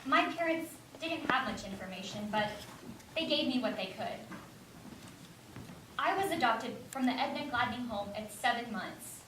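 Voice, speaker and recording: A distant, echoing recording with clear noise carries an actress as an earnest young woman on stage. Her voice sits a little high, and she speaks as a stubborn adoptee looking for answers. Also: lively intonation, normal speed, long pauses, fairly bright tone. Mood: subdued, explaining. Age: young adult. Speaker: stage actor. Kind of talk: one-act stage play, dialogue and monologue.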